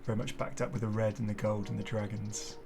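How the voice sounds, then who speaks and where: A man's voice: lowish voice, flat delivery, fast talker, vocal fry. A man hosting a gaming video, giving casual, chatty and enthusiastic commentary with rambling asides. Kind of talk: casual game showcase commentary. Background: music.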